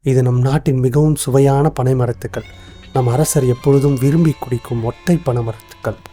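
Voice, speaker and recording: A shrewd royal minister from an animated folktale, acted by a man, with a very mellow, dark voice. He lays things out formally and deliberately, barely varying his pitch. Faint music plays behind him. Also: close to an everyday voice. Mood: sly, calm. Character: shrewd, composed male royal minister exposing a plot. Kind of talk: animated moral story dialogue.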